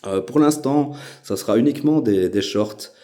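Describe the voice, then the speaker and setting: A Belgian man with a Belgian French accent, hosting a casual vlog and talking with many hesitant filler pauses. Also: medium pitch, mellow. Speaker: YouTube channel host.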